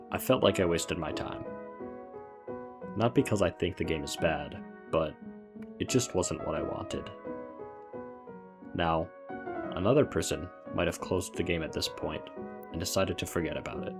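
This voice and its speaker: A man with a notably low voice narrates in a scripted storytelling style. He is presenting a review of a game, and music plays prominently behind him. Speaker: video narrator reviewing a visual novel. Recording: music under the voice. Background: background music.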